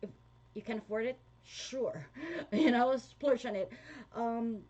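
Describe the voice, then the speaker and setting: A woman's voice: fairly high pitch, slow, mellow, lo-fi sound. A young woman with a Filipino accent, chatting casually to her viewers, with frequent laughs and fillers. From Philippines. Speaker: vlogger. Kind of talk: casual talk to viewers, reading comments.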